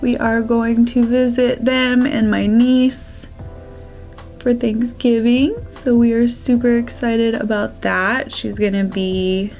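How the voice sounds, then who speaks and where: A young woman with a slightly congested, raspy voice chatting casually through a makeup tutorial. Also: a little high, level tone, slow, soft background music. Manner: chatty, drops to soft whispers at times. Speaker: beauty vlogger.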